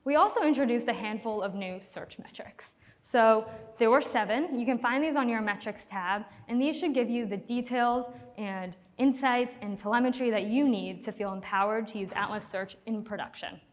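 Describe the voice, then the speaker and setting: A woman's voice: on the high side, normal speed. A woman giving a conference talk as a product manager, upbeat and a little nervous about her first time on stage. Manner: upbeat, slightly nervous presenter. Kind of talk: conference presentation. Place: large conference hall. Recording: long hall reverb.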